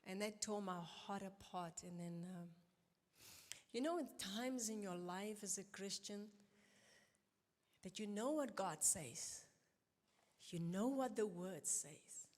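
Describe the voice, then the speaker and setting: A woman's voice: medium pitch, darkish tone. Woman testifying in reverberant hall. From South Africa. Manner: earnest, halting, emotional retelling with pauses. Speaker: conference guest speaker. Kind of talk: personal church testimony. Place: church auditorium. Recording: long reverb of a large church hall.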